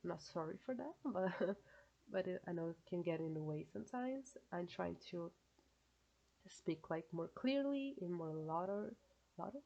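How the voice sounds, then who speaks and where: A woman with a Brazilian accent talks to her audience in a loose, filler-heavy way. Her voice is low, gravelly and mellow, a little stuffy from a cold. The recording sounds dull and lo-fi. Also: animated, a little slow. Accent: Brazilian Portuguese. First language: Portuguese. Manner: chatty, many fillers, self-correcting phrasing. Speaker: reaction video creator. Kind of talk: casual solo commentary.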